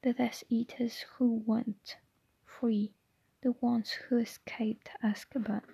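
A woman's voice: on the high side, flat delivery, slow, vocal fry, warm tone, breathy edge, dry recording, exaggerated voice, rough recording. Woman whispering as a menacing grizzled old wizard. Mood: menacing hush. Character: grizzled older male wizard, menacing, secretive. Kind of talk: ASMR audiobook reading.

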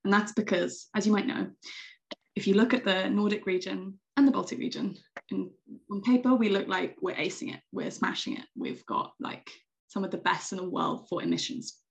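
Gravelly-voiced British young woman. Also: mid-range voice, normal speed, rough recording. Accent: British English. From Britain. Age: young adult. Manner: enthusiastic, chatty with many ums. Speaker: secretary general of a youth organization. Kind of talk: podcast interview answers.

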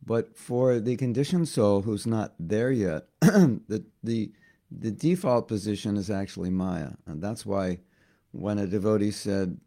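A hoarse man giving a devotional class, talking haltingly and often repeating words as he thinks. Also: fairly low pitch, dark tone. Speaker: spiritual teacher.

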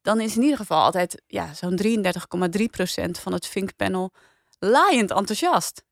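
A woman's voice: medium pitch, lively intonation, normal speed, creaky, no room sound, clean studio sound. A woman with a Netherlandic Dutch accent, hosting a podcast in casual conversation with a panel. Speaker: podcast host.

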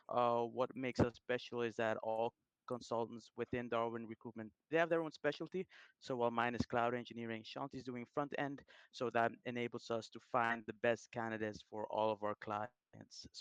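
A man's voice: mid-range voice, clear, resonant tone, no echo, dull audio. A man, a recruitment consultant hosting a webinar, giving a businesslike introduction. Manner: businesslike, brisk self-introduction.

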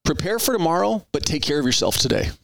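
A middle-aged man hosting a podcast in an earnest, rambling way, circling back over his own words. He has an average-pitched voice. The sound is close and dry, with no room echo. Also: big pitch swings, fast talker, vocal fry, fairly bright tone. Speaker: podcast host. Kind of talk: conversational interview.

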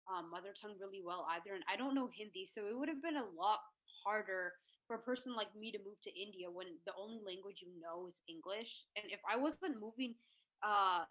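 A young woman from Texas co-hosting a podcast, speaking softly and hesitantly with frequent pauses and "um"s. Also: medium pitch. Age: young adult. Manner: hesitant, soft-spoken, frequent "um". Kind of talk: casual podcast discussion. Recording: dull lo-fi mic.

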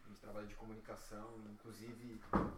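Even-toned Brazilian man, distant and echoey. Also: on the low side, fast talker. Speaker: data scientist. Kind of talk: informal self-introduction. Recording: echoey, noisy, far from mic.

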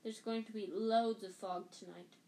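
A woman's voice: medium pitch, steady tone, moderate pace, warm tone, lightly acted. A woman voicing a mischievous teenage schoolboy with a wry, joking mutter.

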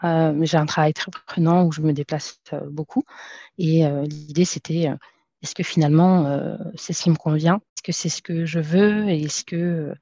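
A woman's voice: deep voice, fairly even intonation, normal speed, dark tone, no echo. A woman in her thirties from France, a podcast guest talking casually and hesitantly with many fillers and restarts. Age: 30s. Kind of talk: casual conversational interview. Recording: dull, dry lo-fi sound.

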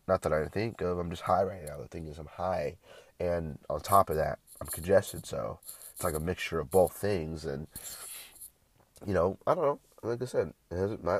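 A man's voice: sits low, gravelly, husky. A young man vlogging casually with a stuffy, congested nose, rambling and swearing often with lots of restarts.

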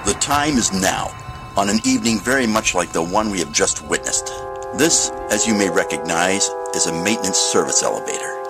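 An actor playing a solemn, theatrical narrator of an eerie mystery anthology, speaking in measured phrases. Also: male voice, sits low. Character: ominous male narrator host of an eerie anthology. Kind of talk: 1950s-style mystery show narration. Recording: loud music under the voice.

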